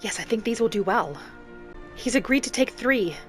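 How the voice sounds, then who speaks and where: A woman narrating a video game roleplay in character, speaking in polite, gently formal phrasing. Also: on the high side, lively intonation, moderate pace. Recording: background music behind the voice.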